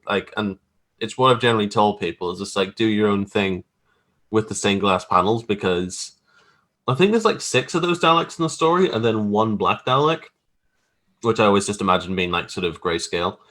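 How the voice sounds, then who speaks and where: A man's voice: on the low side, fairly bright tone. A man speaking as a guest graphic artist in a casual interview, explaining his work in an informal, hesitant, rambling way. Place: remote video call.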